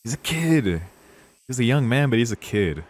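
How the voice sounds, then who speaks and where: A man's voice: mid-range voice, sing-song, moderate pace. A man giving casual, foul-mouthed, emotionally invested commentary on a visual novel as he plays it and reads it aloud. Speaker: streamer playing and reading a visual novel. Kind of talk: casual let's play commentary mixed with reading game text aloud.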